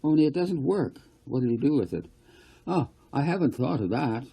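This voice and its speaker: A man's voice: mid-range voice, animated, normal speed, creaky, warm tone, slightly rough, pitched above the performer's own voice. A man voicing a breezy, generous woman with an offhand, teasing manner in a storybook reading.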